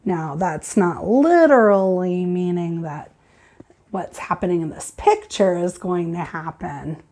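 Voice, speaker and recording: A woman teacher reading aloud and explaining to children in an expressive, deliberate, instructive way. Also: lowish voice, slight background noise.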